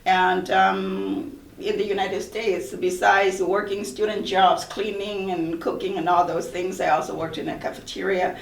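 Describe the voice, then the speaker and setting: A woman's voice: medium pitch, level tone, unhurried, vocal fry. Woman speaking from far in a roomy space. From Thailand. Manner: earnest, reflective, with repeated restarts. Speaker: college professor. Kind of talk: panel discussion. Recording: roomy echo, far from mic.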